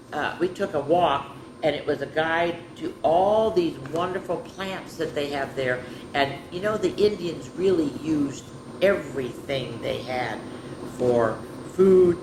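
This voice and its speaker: A woman leading a children's story time, reading at an unhurried pace and chatting warmly with her young audience between pages. The recording sounds roomy and echoey, with constant noise in the background. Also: low-pitched. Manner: animated, chatty, playful asides. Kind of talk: reading picture books to kids.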